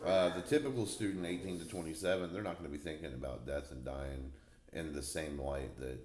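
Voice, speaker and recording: A man's voice: extremely low pitch, brisk, darkish tone. A man in his forties hosting a casual podcast chat, talkative and slangy, laughing easily. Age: 40s. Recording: roomy echo.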